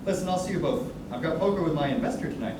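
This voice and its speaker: A man's voice: a little high, expressive, very fast, close to an everyday voice. A young man playing a breezy, clueless businessman in a staged reading of a musical. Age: young adult. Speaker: student actor. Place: echoey indoor room, distant mic. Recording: noisy echoey room from far off.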